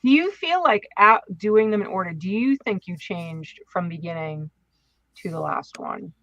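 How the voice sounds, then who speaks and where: A man's voice: high voice, animated, moderate pace, sharp tone, no room sound. A man co-hosting a casual podcast chat, recorded with slightly dull, limited audio.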